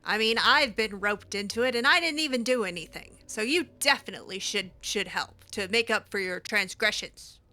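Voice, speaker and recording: A woman's voice: mid-range voice, moderate pace, hardly any pauses, sharp tone. A woman playing a role-play video game, talking casually in character with a playful, sassy, assertive manner. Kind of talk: casual in-character gameplay commentary.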